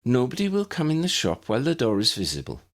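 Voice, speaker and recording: A man's voice: medium pitch, wildly swooping pitch, fast talker, pitched above the performer's own voice. A man voicing a kindly, motherly village shopkeeper woman in a storybook, soothing and full of endearments.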